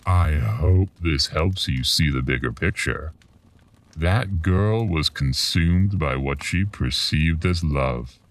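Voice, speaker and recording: A man playing a mysterious, ominous collector of dark tales in a horror radio drama, speaking formally and cryptically. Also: very deep voice, recorded from a distance, heavily acted.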